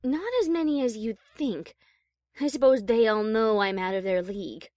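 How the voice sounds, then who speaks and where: A woman voicing a prim, haughty high-class pony in an audio story, speaking with refined diction and dry formality. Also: moderate pace, a little mellow, dry recording, lightly acted. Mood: dry, arch. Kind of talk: scripted audio story character acting.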